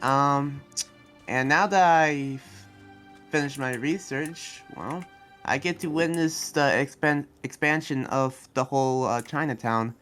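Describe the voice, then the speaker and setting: A man playing an earnest, hesitant demon slayer and researcher in an improvised Minecraft anime roleplay, speaking haltingly and trailing off. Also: medium pitch, a bit bright, soft background music, natural voice. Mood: earnest, explaining.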